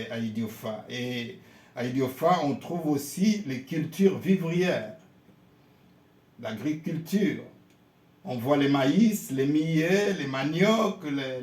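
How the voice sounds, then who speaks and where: A man from Congo, a pastor answering interview questions in a slow, halting way and often repeating his words. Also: fairly high pitch, darkish tone. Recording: roomy echo.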